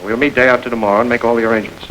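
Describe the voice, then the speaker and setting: An actor voicing a suave gentleman crook from an old crime film, talking quickly with dry, urbane wit. He gives orders smoothly, never raising his voice much, over a faint tape hiss. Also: medium pitch, close to an everyday voice, poor audio. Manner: urbane, wry and smoothly commanding. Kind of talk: 1930s film crime drama.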